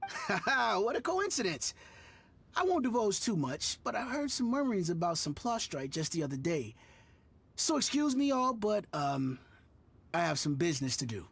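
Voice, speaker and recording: An actor playing a sly, self-satisfied merchant with a raspy voice in a video game. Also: male voice, high voice, big pitch swings, normal speed, a bit bright, heavily acted. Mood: smug, evasive.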